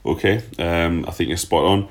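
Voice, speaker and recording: Man, low voice, podcast host, casual football talk, blunt and jokey. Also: normal speed.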